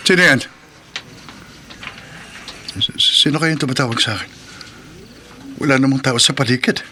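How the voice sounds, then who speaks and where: An actor with a Filipino accent playing a nervous, eager-to-please taxi driver husband who stammers when uneasy, in a horror radio drama. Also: male voice, mid-range voice, normal speed, pushed and bright, slight background noise. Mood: frightened, defiant.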